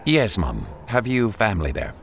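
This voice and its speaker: An earnest 19th-century reporter in an audio drama. His voice is deep and his delivery formal, courteous and measured, with music clearly heard behind him. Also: big pitch swings. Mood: polite, probing.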